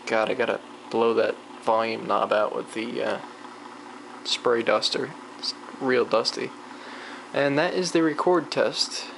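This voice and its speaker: Clear machine noise sits behind a gravelly, mid-pitched voice. The speaker gives a casual, unscripted hobbyist demonstration, rambling and half talking to themselves as they go. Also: unhurried, a bit bright. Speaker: hobbyist demonstrating vintage tape recorder. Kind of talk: casual off-the-cuff demonstration chat. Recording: clearly noisy home video, machine hum.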